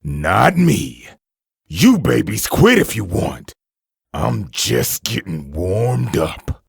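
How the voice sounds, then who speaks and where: A man playing a cocky, bloodthirsty super-soldier villain in a comic dub, with a deep growling rasp and scornful manner. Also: sing-song, extremely slow, no echo, studio quality, full character voice. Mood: cocky, eager. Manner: arrogant, scornful.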